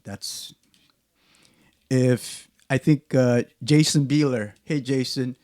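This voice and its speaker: Indonesian-accented man, mellow voice, dry close sound, halting casual chat, frequent restarts. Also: mid-range voice, steady tone, no echo. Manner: halting, many restarts and fillers, laughs often. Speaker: podcast host.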